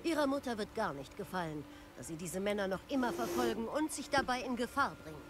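Stern female officer played by an actress for a fantasy game, formal, admonishing, mid pitch, faint ambience noise. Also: very animated, quick pace, natural voice. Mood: stern, relieved. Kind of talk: video game voice acting.

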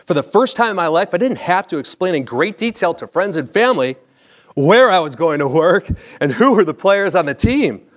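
A man's voice: high voice, sing-song, moderate pace. A middle-aged American man reading a scripted personal story on stage with a practised broadcaster's delivery. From Chicago area, United States. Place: theatre stage before a live audience. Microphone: clip-on lapel mic.

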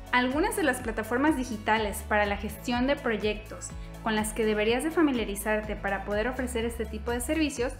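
A young woman hosting her own channel, explaining a topic in an upbeat way straight to her viewers from a room at home. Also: a little high. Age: young adult. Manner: upbeat, direct address to viewers. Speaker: YouTube host. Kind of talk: scripted vlog explainer. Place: indoor room, seated on couch. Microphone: fixed camera, medium shot. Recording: echoey room with soft background music.

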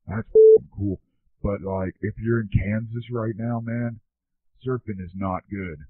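Husky dude on a tinny phone line. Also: male voice, on the low side, fairly even intonation, a little slow, no room sound. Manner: surfer-dude drawl, constant bro and man. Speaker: comedy podcast performer. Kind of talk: slangy comic anecdote monologue. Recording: thin, tinny phone-like sound.

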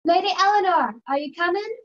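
A woman's voice: very high voice, brisk, no room sound. Young woman as dull-sounding plucky American guest. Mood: inquiring.

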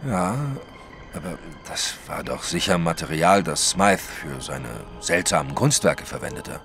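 An actor playing an impatient, baffled detective's companion in a German audio drama, excitable, questioning and sceptical. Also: male voice, sits low, measured pace, gravelly, over music.

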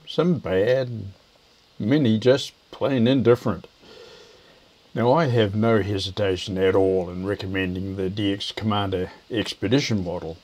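An older man, explaining things to camera in a measured, hesitant way. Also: lowish voice, a little mellow. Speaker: video presenter, ham radio operator.

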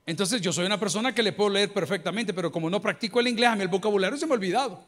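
A middle-aged man from El Salvador with a Salvadoran accent, preaching a sermon on a handheld mic in a large church, lively and joking. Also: sits high, rapid-fire. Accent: Salvadoran Spanish. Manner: lively preaching, bursts of mimicry and humor. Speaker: pastor. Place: large church auditorium with a crowd. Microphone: handheld microphone.

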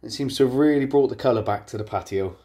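A man's voice: medium pitch, quick pace, breathy edge, no echo, studio quality. Chatty English man vlogging in a van. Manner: relaxed, chatty, with frequent ums. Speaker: window cleaner vlogger. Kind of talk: casual how-to vlog. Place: inside a parked van. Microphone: phone camera at arm's length.

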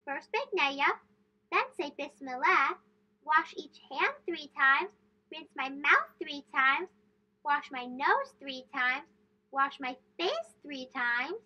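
A woman voicing an eager, brave young boy in a children's storybook, speaking earnestly and deliberately. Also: high-pitched, expressive, bright, forceful tone, full character voice. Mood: earnest reciting. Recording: dull lo-fi sound.

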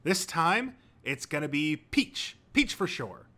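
A man's voice: fairly high pitch, very animated, slow pace, bright, forceful tone, pitched above the performer's own voice, full character voice. A man voicing a cheerful, encouraging teenage boy in an audiobook, upbeat and casual like a big brother. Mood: playful, upbeat.

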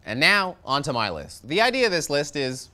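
A man's voice: big pitch swings, moderate pace, pushed and bright. A man in his thirties hosting a metal review show, delivering a jokey scripted review from a studio set. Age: 30s. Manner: sarcastic, jokey, wide swings in pitch. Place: studio set with shelves of records.

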